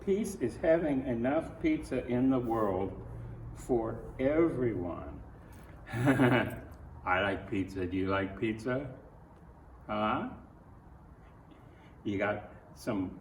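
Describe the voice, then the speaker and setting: An older man reading a children's picture book aloud, with folksy, grandfatherly asides spoken directly to young listeners. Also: fairly low pitch. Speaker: storybook reader. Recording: roomy echo, distant mic, noisy background.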